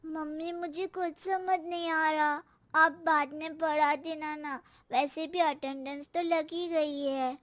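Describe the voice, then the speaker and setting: Slow, high-pitched cheeky little boy. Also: little pitch movement, a bit bright. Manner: drawling, smart-alecky. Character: lazy, cheeky schoolboy son who talks back. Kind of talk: animated story dialogue.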